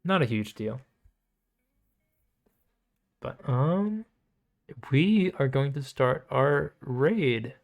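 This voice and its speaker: A man's voice: medium pitch, drawn-out words, creaky, pauses often. A man livestreaming in a casual chat style, rambling and thinking aloud as he hosts. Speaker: livestreamer, VTuber host. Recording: music behind the voice. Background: background music, guitar.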